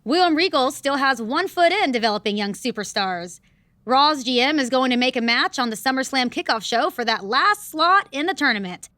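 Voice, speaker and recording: A bright, brisk wrestling interviewer. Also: female voice, high voice, animated, dry recording. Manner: brisk, informative. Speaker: backstage interviewer.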